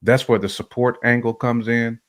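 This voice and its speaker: A man's voice: medium pitch, little pitch movement, normal speed, fairly bright tone. A man co-hosting a casual chat, talking in a colloquial, slangy way. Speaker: co-host.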